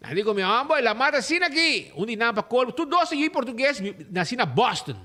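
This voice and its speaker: A man with an Aruban accent hosts a casual radio show, talking in a lively, rambling way and slipping between languages. His voice sits high for a man. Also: fast. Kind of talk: casual radio talk with ads.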